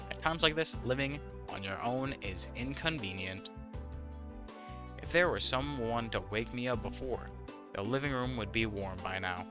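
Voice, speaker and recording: A man reading visual novel text aloud with casual, wry commentary, sometimes stumbling over the words. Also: mid-range voice. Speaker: gaming YouTuber, host.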